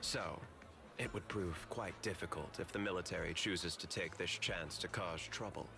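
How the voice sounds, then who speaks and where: A man playing a courteous, composed corporate manager in a video game, speaking in polite, measured explanations. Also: low-pitched, gravelly. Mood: composed, explanatory.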